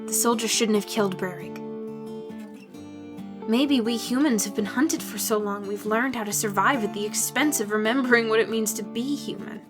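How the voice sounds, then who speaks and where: A woman's voice: a little high, normal speed, lightly acted. A woman playing an earnest, defiant fifteen-year-old village girl who speaks in a challenging way, in a fantasy audiobook. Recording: music behind the voice.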